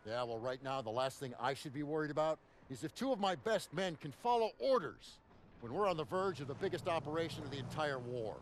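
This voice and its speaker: A man's voice: on the high side, lively intonation, brisk, lightly acted. An actor playing a stern army officer who scolds his men. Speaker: commanding officer.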